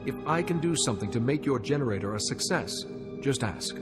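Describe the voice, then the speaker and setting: Man playing a calm, formal Jedi in reverb. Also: medium pitch, loud music behind the voice, natural voice. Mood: diplomatic, gentle. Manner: measured, formal. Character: calm, diplomatic male Jedi sage, kindly and formal.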